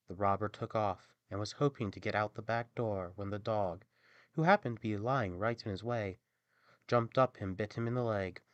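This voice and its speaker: A man narrating a scripted fairy tale as an expressive, theatrical bedtime storyteller. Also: on the low side, no echo.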